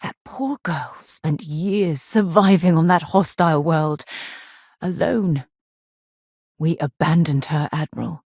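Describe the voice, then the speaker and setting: An actress playing a resolute, principled woman space explorer in a video game, with a husky voice and an earnest, articulate way of speaking. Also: deep voice, slow, darkish tone, dry recording, studio quality, heavily acted. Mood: somber, reflective. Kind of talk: video game character acting.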